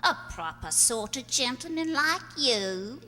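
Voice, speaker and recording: A woman's voice: high voice, expressive, a little slow, sharp tone, heavily acted. A woman playing a bitter, vengeful, scarred slum woman in an amateur radio play, coarse and taunting, in a reverberant hall. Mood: sly, wary. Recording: reverberant hall, faint noise and hum.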